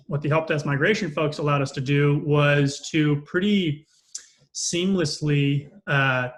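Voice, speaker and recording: Male, slightly high voice, unscripted customer testimonial from a manager, halting delivery with frequent pauses. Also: fairly bright tone. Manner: hesitant, pausing often mid-sentence. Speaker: customer success operations manager giving a testimonial.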